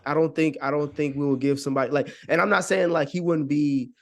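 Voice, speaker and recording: A man's voice: on the high side, fairly even intonation, fast. A man giving his opinions as a podcast guest, speaking casually and earnestly with plenty of slang. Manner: slangy, earnest, frequent 'you know what I'm saying'. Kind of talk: casual conversational opinion talk.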